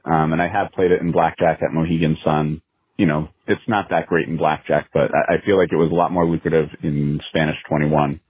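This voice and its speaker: A man from Connecticut with a husky voice, calling in as a listener with a casual voicemail over a phone line. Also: extremely low pitch, dry recording. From Connecticut, United States.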